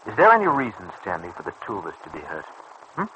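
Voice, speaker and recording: A smooth, scheming racket boss. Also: male voice, low voice, huge pitch swings, fast talker, sharp tone, full character voice, poor audio. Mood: persuasive. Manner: suave, persuasive, precise educated phrasing. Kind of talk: old radio serial acting. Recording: old radio recording with faint noise.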